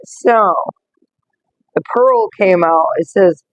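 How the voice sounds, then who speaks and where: A woman talks slowly and gently with a slightly rough, worn voice, reading oracle cards in a casual live stream. The sound is dry and close, with no room around it, and the audio is dull and lo-fi. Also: medium pitch, no echo. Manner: slow, gentle, greets viewers by name. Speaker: oracle card reader.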